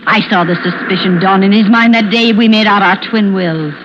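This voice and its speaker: An actress playing a murderous, scheming widow who taunts with false helplessness in an old-time radio suspense drama. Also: female voice, mid-range voice, fairly bright tone, a little hiss or hum, close to an everyday voice. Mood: scornful. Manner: taunting, theatrical, drawn-out monologue. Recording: muffled vintage radio recording with music.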